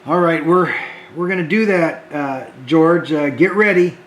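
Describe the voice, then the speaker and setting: A man hosting a homemade children's show, talking gently and hesitantly to the kids and often repeating words. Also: fairly high pitch, a little slow, echoey room, a little hiss or hum, rough recording.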